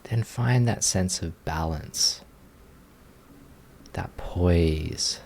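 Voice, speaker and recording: Low, slow, creaky-voiced man guiding meditation. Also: a little hiss or hum. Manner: gentle, unhurried, inviting, with long pauses. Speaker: meditation teacher. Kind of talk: guided meditation.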